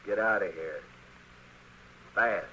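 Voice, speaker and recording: A man's voice: lowish voice, slow, pushed and bright, low-quality recording. An actor playing a cold, menacing American gunman who taunts and threatens, in an old-time radio western. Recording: old radio recording, faint noise.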